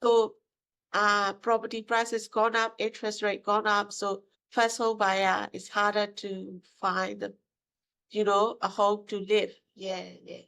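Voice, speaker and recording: A woman's voice: mid-range voice, level tone, unhurried, gravelly, a bit bright, smooth, low-quality recording. A woman, answering interview questions in broken English with hesitations and tag questions. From Myanmar. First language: Burmese. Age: 50s. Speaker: guest, mortgage broker.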